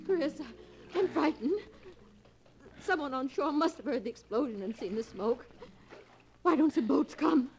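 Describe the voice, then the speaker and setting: High-voiced, clinging radio-melodrama wife. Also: female voice, animated, unhurried, long pauses, a little mellow. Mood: frightened pleading. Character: clinging, adoring wife, devoted to the point of obsession. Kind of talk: 1940s radio melodrama acting. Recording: noisy old 1940s radio recording.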